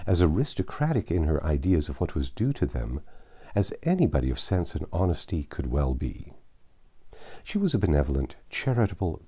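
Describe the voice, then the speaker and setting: A man with a low, mellow voice narrates classic literature. His tone is slightly husky. He tells the story in a measured, formal way, with expressive movement in his pitch. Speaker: audiobook narrator. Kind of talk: scripted narration of a classic novel.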